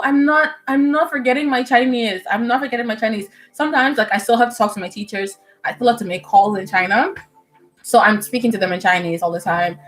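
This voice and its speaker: A young woman from the Bahamas, a medical student, chatting casually with viewers on a livestream at home, animated and full of fillers and laughs. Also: mid-range voice, rough recording. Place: indoors at home. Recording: soft music playing behind.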